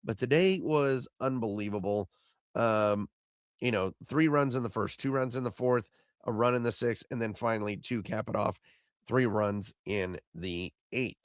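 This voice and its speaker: A man with a raspy voice, hoarse from being sick, hosting a solo postgame recap podcast and rambling and repeating himself. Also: lowish voice, moderate pace, dry recording, highs cut off. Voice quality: raspy, fading voice. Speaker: podcast host.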